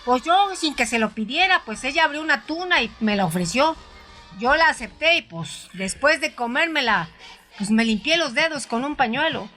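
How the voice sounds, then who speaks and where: A woman narrates a story in a high, bright voice. Her delivery is dramatized yet conversational, with hesitant pauses as though telling it herself. Soft music plays behind her. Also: lively intonation. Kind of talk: dramatized first-person audiobook narration.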